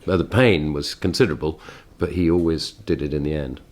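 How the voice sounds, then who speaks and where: A man speaks in an extremely deep voice. He is reflective and articulate, musing aloud as in a radio discussion. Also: a little fast, darkish tone. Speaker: interviewee, colleague reminiscing.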